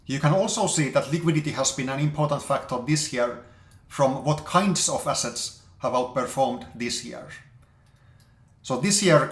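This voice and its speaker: A man giving a measured, explanatory investment presentation, walking through charts as an investor. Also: mid-range voice. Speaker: investor presenting market outlook. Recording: roomy, echoey sound.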